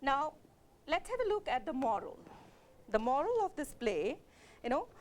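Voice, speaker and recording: A woman's voice: high voice, very animated, moderate pace. A woman with a Kashmiri accent giving a literature lecture as a college lecturer, explaining steadily with frequent fillers and self-corrections.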